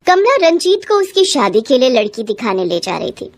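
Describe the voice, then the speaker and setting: A woman narrating a cartoon storybook with lively, scripted delivery. Her voice sits a bit high for a woman, and she carries the story forward brightly. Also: normal speed.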